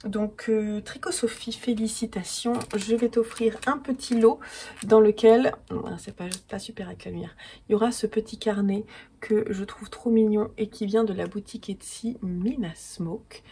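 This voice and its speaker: Chatty French woman with a gravelly, stuffy voice. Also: mid-range voice, fairly even intonation, normal speed, warm tone, a little hiss or hum. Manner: chatty, many fillers and restarts. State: stuffy from a cold. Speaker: podcaster and yarn dyer. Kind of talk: casual chat to camera. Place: at home.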